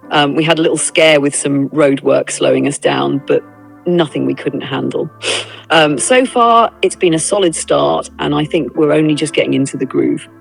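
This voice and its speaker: An AI woman's voice, notably low with plenty of fry, plays an upbeat documentarian on the road. She talks in an easygoing, reflective, encouraging way. Soft music sits behind her. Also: rough recording. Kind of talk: AI voice companion in casual roleplay chat.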